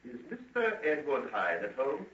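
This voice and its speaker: An actor playing a courteous, inquisitive gentleman in an old radio drama, speaking in a polite, formal, probing manner. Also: mid-range voice, wildly swooping pitch, normal speed. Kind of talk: 1940s radio acting. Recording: dull old radio recording.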